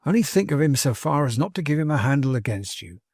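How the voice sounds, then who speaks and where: A man's voice: on the high side, big pitch swings, fast, a little mellow, breathy edge, no echo, clean studio sound, full character voice. A man voicing a cheery, loyal young working-class manservant in a storybook, with bold, insistent, colloquial and ungrammatical speech. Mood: coaxing, encouraging. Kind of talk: dramatised audiobook character dialogue.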